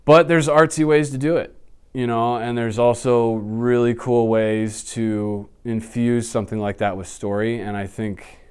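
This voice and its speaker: A man, chatting casually off the cuff in a slangy, animated manner as an interview guest. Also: mid-range voice, measured pace, smooth. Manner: animated, slangy, blurts sound effects. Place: small indoor office, seated.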